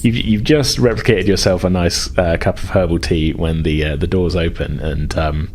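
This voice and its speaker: Low-voiced man running a tabletop game. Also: steady tone, darkish tone, slight background noise. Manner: halting, hedging narration. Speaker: game master. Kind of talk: narrating a tabletop role-playing game, conversational.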